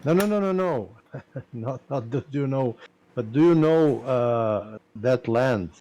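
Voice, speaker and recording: Slow-talking man with a Serbian accent. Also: mid-range voice, expressive, dark tone, rough recording. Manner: slow, halting, searching for English words. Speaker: streamer. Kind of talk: casual chat.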